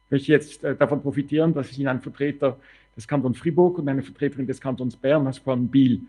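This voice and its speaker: A man's voice: mid-range voice, steady tone, fast, mellow, slightly rough. A man moderating a panel and putting questions in spontaneous speech.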